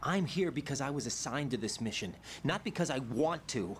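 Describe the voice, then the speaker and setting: Brief: actor doing a tense, questioning young game soldier, animated delivery. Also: male voice, mid-range voice, moderate pace, faint music behind, close to an everyday voice.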